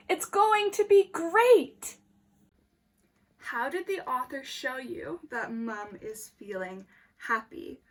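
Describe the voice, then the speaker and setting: A woman teacher reading a picture book aloud to children in an animated, deliberate way, pausing to ask questions. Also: high-pitched.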